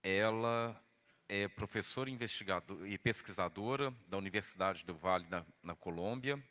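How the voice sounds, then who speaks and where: A man's voice: fairly low pitch, pushed and bright, in a large hall. A man moderating a seminar, formally introducing the guest speaker. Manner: formal and polite, with a slight stumble.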